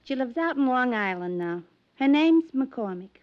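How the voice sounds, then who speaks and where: A woman's voice: high voice, animated, measured pace. An American woman acting a tough, wary, streetwise showgirl in a 1950s radio drama, brassy, slangy and guarded. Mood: confiding. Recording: dull, band-limited old radio recording.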